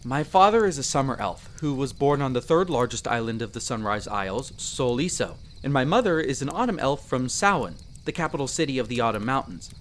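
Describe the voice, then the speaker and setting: A man playing a friendly, earnest young elf explorer in roleplay audio, explaining things chattily with hesitant pauses. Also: mid-range voice, animated, slight background noise, lightly acted. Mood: hesitant, confiding. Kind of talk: character roleplay audio addressed to the listener.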